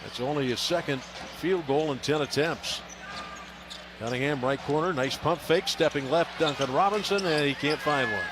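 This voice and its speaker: A man's voice: on the high side, normal speed. An American man working as a radio announcer, giving live basketball play-by-play in short, clipped phrases. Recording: loud arena noise behind. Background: arena ambience, bouncing basketball.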